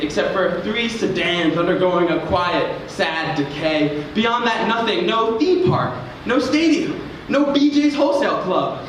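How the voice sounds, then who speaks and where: A man performing spoken word in a theatrical, dramatic and deliberate way, as a poet on stage. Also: high-pitched, far from the mic. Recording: echoey, noisy room recording from afar.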